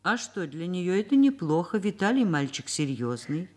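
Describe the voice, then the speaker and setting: Kindly woman professor, older, wry and reflective, lively intonation, Soviet radio play. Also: fairly low pitch, normal speed. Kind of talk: Soviet radio drama acting, first-person narration and dialogue.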